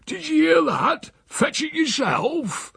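Husky, bawling old country rogue. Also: male voice, high-pitched, wildly swooping pitch, slow, no echo, studio quality, pitched above the performer's own voice, heavily acted. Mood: bellowing, irascible. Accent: rural English. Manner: goading, blunt, dialect-speaking. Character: bawling, cantankerous, roguish old country uncle.

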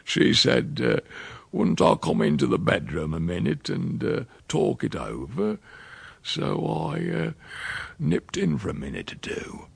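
Guy doing a crusty old country uncle, gravelly and husky, slow drawl, voice swoops all over, dry sound. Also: lowish voice, huge pitch swings, no echo, full character voice. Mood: chuckling. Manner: slow, chuckling drawl with dialect grammar. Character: sly old country uncle, boastful rustic yarn-spinner. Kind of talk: storybook reading.

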